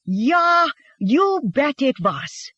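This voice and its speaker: A narrator voicing a fiery, sharp-tongued young wife in audiobook dialogue, wounded and defiant, spitting out taunts. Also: medium pitch, expressive, measured pace, breathy edge, no echo, lo-fi sound. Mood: mocking. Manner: spitting, taunting, shouting back.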